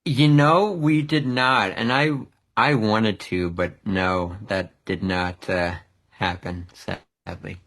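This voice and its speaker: A man's voice: lowish voice, steady tone, takes it slowly, a bit bright. A man from the Philadelphia area, speaking as a guest in a casual interview, easygoing, laughing often and answering in short agreeing replies. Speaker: podcast guest, singer.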